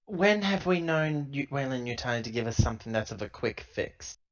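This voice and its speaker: A man's voice: medium pitch, expressive, brisk. A man playing a tabletop roleplaying game, casually describing and speaking for his character over a lo-fi connection. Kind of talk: casual play, describing and voicing own character.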